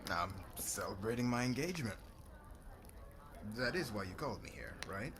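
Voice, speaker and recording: A man's voice: lowish voice, very animated, moderate pace, crowd noise. A man playing a cocky, flirtatious warrior commander in an ASMR roleplay, with a brash, teasing way of talking. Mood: smug, flirtatious. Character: cocky, flirtatious warrior commander, crude suitor turning contrite.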